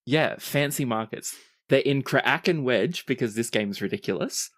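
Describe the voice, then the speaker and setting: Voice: medium pitch, lively intonation, normal speed. A game master narrating a tabletop roleplaying game in a playful, improvised way, voicing bits of dialogue along the way.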